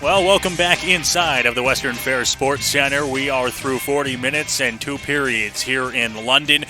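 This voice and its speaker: A man working as a hockey play-by-play announcer, giving radio commentary on a game from an indoor arena. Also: mid-range voice. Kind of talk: radio sports commentary during intermission.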